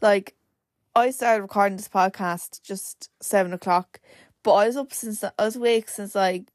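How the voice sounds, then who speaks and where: A young woman hosting her own podcast in casual, rambling chat, her voice a little croaky and cracking. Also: medium pitch, fairly even intonation, moderate pace, darkish tone, dry recording, studio quality. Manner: chatty and rambling, full of "like" fillers. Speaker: podcast host.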